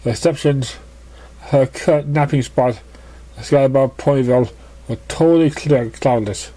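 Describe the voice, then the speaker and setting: A man reading scripted story narration, somewhat haltingly, stumbling over words now and then. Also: mid-range voice, dark tone. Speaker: story reader.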